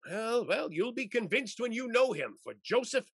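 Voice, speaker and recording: A man acting an effusive, stubborn old married gentleman with a raspy voice in a period stage comedy. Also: very high-pitched, big pitch swings, normal speed, dry recording, studio quality, exaggerated voice. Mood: insistent. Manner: effusive, exclamatory. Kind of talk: theatrical period comedy acting over video call. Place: indoors, on a video call.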